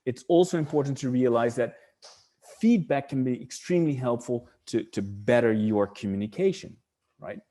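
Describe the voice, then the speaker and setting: Dutch guy teaching a webinar, mellow low-key voice, keeps restarting and repeating words as he goes. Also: normal speed. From Netherlands. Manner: thinking aloud with many restarts and repeated words. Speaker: webinar presenter. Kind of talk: online webinar lecture.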